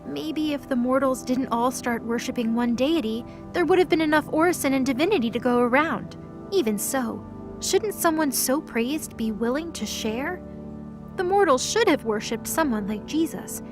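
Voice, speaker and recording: A woman's voice: high voice, expressive, normal speed, over music. A woman narrator reading scripted third-person narration for an audio drama.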